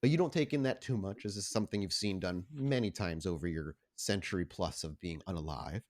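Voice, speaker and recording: A man's voice: low-pitched, brisk. A man narrating and refereeing a tabletop roleplaying game as game master, mixing description with casual exclamatory asides.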